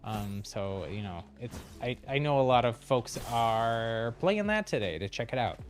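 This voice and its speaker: A man's voice: mid-range voice, animated, measured pace, soft background music. A man doing casual live gameplay commentary as a streamer, chatty and hesitant as he thinks aloud. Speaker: game streamer.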